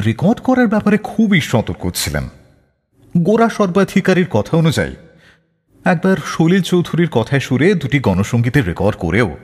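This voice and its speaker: Husky man with very animated pitch. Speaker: radio show host. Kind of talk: scripted radio narration with anecdotes between songs. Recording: long hall-like reverb, faint music behind. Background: faint music.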